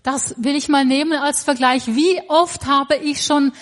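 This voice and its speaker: A woman preaching a sermon in short phrases, pausing after each one so it can be interpreted. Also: high-pitched. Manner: short phrases paused for translation, lively.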